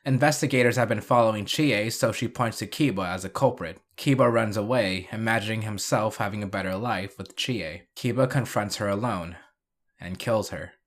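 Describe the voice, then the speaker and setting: A man's voice: fairly low pitch, moderate pace. A man hosting and narrating a scripted recap, adding wry, dry asides. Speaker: video host and narrator.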